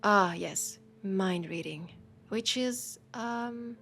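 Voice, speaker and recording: A woman with a French accent playing a teasing, curious bookshop keeper in an intimate audio roleplay, speaking softly and musingly and often slipping toward a whisper. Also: mid-range voice, drawn-out words, gravelly, heavily acted. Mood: musing, gentle. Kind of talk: intimate audio roleplay, soft acted monologue.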